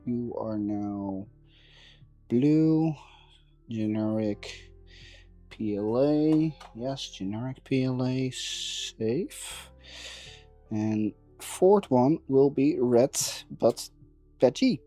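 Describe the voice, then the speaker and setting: A man chatting casually while working on a build, thinking aloud in a halting way and repeating words. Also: medium pitch, lively intonation, warm tone, soft background music. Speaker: maker livestreaming a 3D printing build.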